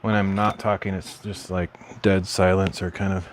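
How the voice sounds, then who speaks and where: A man streaming from his craft room at home, chatting casually while he works, rambling and correcting himself in a mild, low-key way. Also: level tone, moderate pace, slight background noise.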